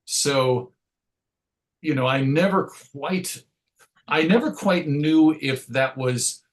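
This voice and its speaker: A man's voice: on the high side, slow. A man speaking as a comics writer being interviewed, conversational and thoughtful, often rambling and correcting himself as he goes. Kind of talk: conversational interview answers, reflective.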